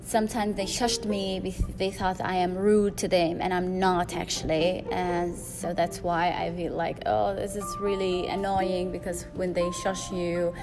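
Loud-voiced woman podcaster over music. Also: lowish voice, flat delivery, unhurried, few pauses. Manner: rambling, frequent fillers and restarts. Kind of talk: solo podcast monologue. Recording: music playing behind.

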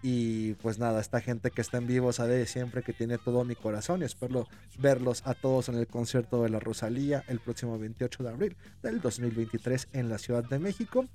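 A man with a Mexican Spanish accent hosting a casual podcast chat, excitable and laughing, swearing freely. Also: medium pitch, a little fast, few pauses. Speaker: podcast host.

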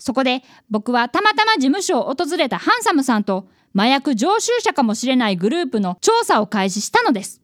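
A woman's voice: sits high, very animated, brisk, hardly any pauses, a bit bright, no echo, clean studio sound. A woman playing an earnest, polite eleven-year-old boy apprentice detective in a radio drama, narrating and talking dramatically.

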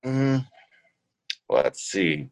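A man's voice: sits low, big pitch swings, drawn-out words, darkish tone, no room sound. A man speaking as an interview guest in an earnest, reflective, conversational way, often searching for his words. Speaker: podcast guest, role-playing show founder.

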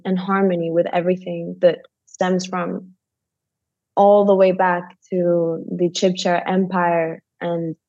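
Woman guest, warm low-ish voice, thinks out loud slowly, muffled dry call audio. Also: no room sound. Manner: thoughtful, searching for words. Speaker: nonprofit director. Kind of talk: podcast interview. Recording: dull dry remote audio.

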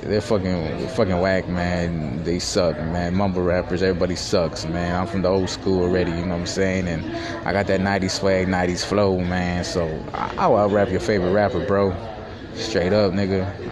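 Brief: young man, very low voice, streetwise and profane, boastful, restaurant crowd chatter behind. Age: 20s. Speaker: podcast host. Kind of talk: casual solo podcast monologue. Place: fast-food restaurant.

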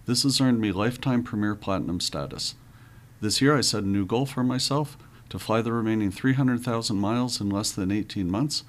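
Friendly, lower-pitched male podcast host. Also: brisk. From United States. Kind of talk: casual podcast chat.

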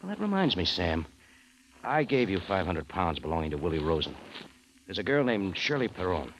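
A man's voice: deep voice, very animated, normal speed, slight background noise. A man acting a wry, tough American adventurer in a hard-boiled 1950s radio detective drama. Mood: knowing, casual. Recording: muffled old radio, music behind.

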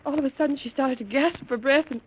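Radio drama wife, female actor: high voice, frightened and faltering, dull vintage sound. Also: slight background noise, lightly acted. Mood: grieving. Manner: halting, melodramatic. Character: distraught, frightened wife of a rich mine owner. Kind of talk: 1940s radio drama acting. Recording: dull, lo-fi old radio recording.

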